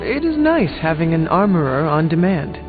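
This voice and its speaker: A man playing a composed, formal warrior hero from centuries past in a video game, measured and understated. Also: on the high side, big pitch swings, phone-quality sound, music in the background. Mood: calm, reserved. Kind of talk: video game dialogue acting.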